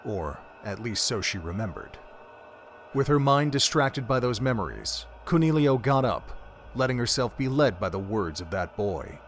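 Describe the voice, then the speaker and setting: A man giving scripted story narration for an audio drama in a measured, even way. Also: mid-range voice.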